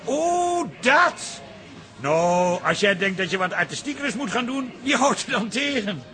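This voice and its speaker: An actor in a radio play playing a jumpy, sarcastic, grumbling husband who speaks in an excitable, wry way. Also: male voice, very high voice, a little slow, soft background music, exaggerated voice. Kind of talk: radio drama acting.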